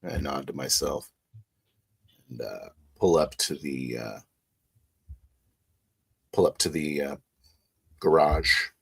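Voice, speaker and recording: Super deep husky guy slowly making up his rpg moves, kinda hesitant. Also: fairly bright tone. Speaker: player in a tabletop roleplaying game. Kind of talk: improvised first-person roleplay narration.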